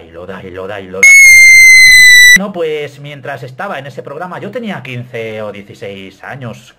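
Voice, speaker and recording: Slow-talking Spanish man in his thirties. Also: medium pitch. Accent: Castilian Spanish. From Spain. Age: 30s. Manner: animated, self-mocking, gestures a lot. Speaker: YouTuber. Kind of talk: casual vlog to camera. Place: small bedroom, indoors.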